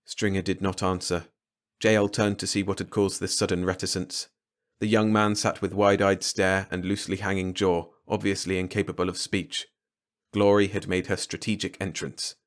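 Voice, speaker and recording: A man's voice: sits low, level tone, normal speed. A man reading a short story aloud as a scripted audiobook narration. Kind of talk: scripted story narration, bedtime read-along.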